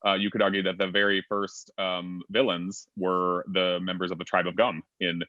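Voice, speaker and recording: A man moderating a casual panel discussion, talking quickly and reading out chat comments. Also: low voice, little pitch movement, no room sound, narrow, tinny audio.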